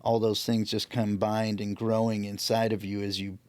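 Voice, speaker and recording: A man's voice: on the low side, little pitch movement, moderate pace, a little mellow, dry recording. A man speaking as a podcast guest, in casual, reflective interview chat.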